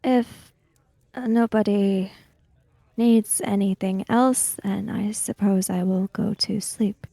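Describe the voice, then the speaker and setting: A woman playing a tabletop roleplaying game, speaking improvised dialogue in a measured, deliberate way with halting phrases. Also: mid-range voice, fairly even intonation, vocal fry, warm tone, dry recording.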